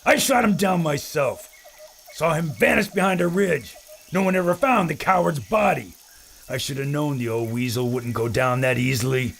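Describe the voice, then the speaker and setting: An actor playing a brash, boastful adventurer filmmaker who talks with a gruff swagger. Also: male voice, fairly high pitch, moderate pace, a bit bright, slight background noise. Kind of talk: audio drama acting.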